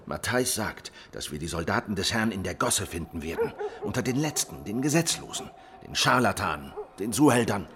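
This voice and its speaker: A man's voice: fairly low pitch, sing-song, fairly quick. An actor playing a wary, battle-ready Anabaptist swordsman, terse and resolute, in a German historical radio drama. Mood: reflective.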